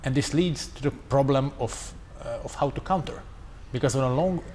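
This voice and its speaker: A man whose first language is Hungarian speaks English on a panel in a steady, analytical way. He lays out his arguments step by step. His voice rings through a large hall with long reverb and a faint background hum. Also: medium pitch. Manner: measured, analytical, non-native English. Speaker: conference panelist. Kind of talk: panel discussion. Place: conference hall.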